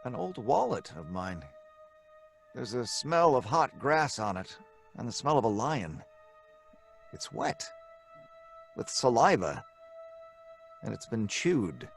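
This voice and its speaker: A reassuring father soothes his anxious wife in a lively, paternal voice. His voice rises and falls as he talks, and faint music sits underneath. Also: mid-range voice, slow, gravelly, pauses often, full character voice. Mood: uneasy. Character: father George, reassuring then stern. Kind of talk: live audio drama performance.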